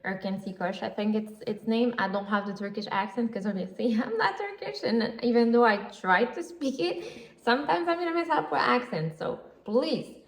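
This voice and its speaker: A woman's voice: fairly high pitch, animated, moderate pace. A woman hosting her own podcast, talking casually and gushing with lots of fillers. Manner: chatty and gushing, with frequent fillers and laughs. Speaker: podcast host. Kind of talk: casual solo podcast chat. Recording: roomy echo.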